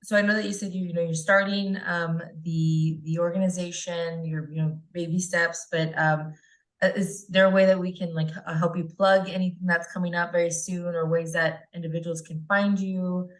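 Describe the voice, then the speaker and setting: Chatty woman host on a video call, lowish voice, upbeat. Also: level tone, moderate pace. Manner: upbeat, chatty, many fillers. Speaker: podcast and webinar host. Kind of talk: casual video-call interview.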